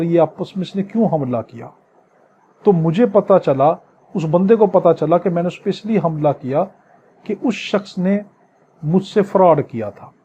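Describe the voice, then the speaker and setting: A man's voice: high-pitched, warm tone, slight background noise. A man with a Pakistani accent teaching in a lecture style, explaining phrase by phrase and repeating key points. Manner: didactic, explains phrase by phrase with repetition. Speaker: law teacher. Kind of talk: lecture, reading English statutes aloud and explaining them in Urdu. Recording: dull, muffled lo-fi audio.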